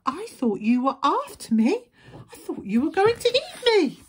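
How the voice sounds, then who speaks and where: A woman's voice: high-pitched, wildly swooping pitch, a little slow, voice pushed up, exaggerated voice. A woman voicing a timid, frightened young male wolf in a children's storybook, with panicky exclamations. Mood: nervous, timid.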